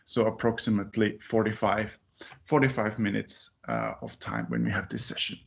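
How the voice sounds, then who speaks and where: Finnish-born guy who grew up in zurich, gravelly voice, lots of uhs and restarts, kinda dull call audio. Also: lowish voice, very animated. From Finland, grew up in Zurich. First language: not English. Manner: halting, many fillers and restarts. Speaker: conference speaker. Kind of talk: presentation at a user group meeting.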